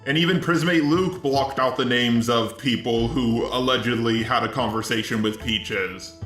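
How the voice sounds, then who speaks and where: A man's voice: mid-range voice, normal speed, fairly bright tone, roomy sound. A synthetic robot text-to-speech man delivering argumentative, scripted video commentary. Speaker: commentary channel host. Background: background music.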